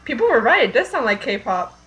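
A giggly, excitable woman reacting casually as a fan podcast co-host. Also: fairly high pitch, brisk, soft background music. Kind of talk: casual chat, reacting to a music video.

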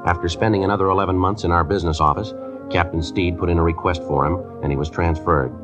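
An actor playing a terse, matter-of-fact police detective in a 1950s radio drama, with flat, deadpan delivery. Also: male voice, low-pitched, lo-fi sound, lightly acted. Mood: matter-of-fact narration. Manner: deadpan, businesslike. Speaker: narrator and lead actor of a police series. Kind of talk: 1950s radio drama acting, scripted narration. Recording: 1950s radio recording with music.

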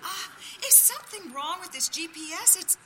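A woman playing a ditzy, easily confused and petulant driver in a live comedy audio play, squeaky and whiny. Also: animated, normal speed, pushed and bright, full character voice.

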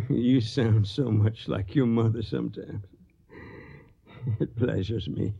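Gravelly, ailing old father. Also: mid-range voice, steady tone, slow, warm tone, heavily acted. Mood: frail, tender. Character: ailing, stubborn, affectionate old Southern father. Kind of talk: radio drama acting. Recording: slightly muffled radio recording.

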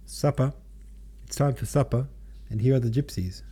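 A man's voice: medium pitch, moderate pace, warm tone, husky, pitched above the performer's own voice, natural voice. A man reading the part of a cold, taunting gambler in an audiobook, curt and mocking. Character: cold, taunting male gambler collecting a debt.

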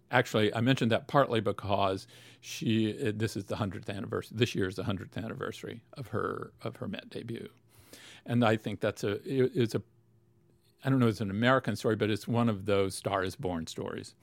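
A man with a low, gravelly voice explains things at length in an interview. He pauses often and restarts his sentences. The sound is dry and close. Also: fairly even intonation, no echo. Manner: thoughtful, many fillers and restarts. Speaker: opera expert guest. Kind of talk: interview answers.

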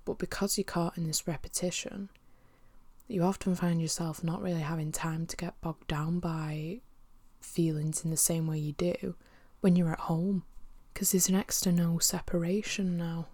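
A young woman with a sniffly cold, talking candidly to camera from home, rambling and swearing, often dropping into soft whispery asides. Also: lowish voice, flat delivery, moderate pace, no room sound. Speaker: vlogger. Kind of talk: casual personal monologue. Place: at home by her desk.

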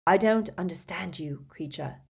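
A woman's voice: on the low side, normal speed, vocal fry, darkish tone, husky, full character voice. A woman voicing a hot-tempered, determined teenage boy in an audiobook, blunt and insistent. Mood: baffled, accusing.

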